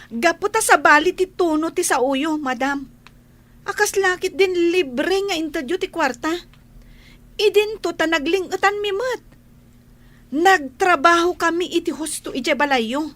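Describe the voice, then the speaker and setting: Very high, slow-spoken Ilocano young woman acted by a woman. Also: animated, fairly bright tone, full character voice. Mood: indignant. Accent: Ilocano Filipino. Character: defiant young student, a former housemaid, frank and indignant. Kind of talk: Filipino radio drama acting.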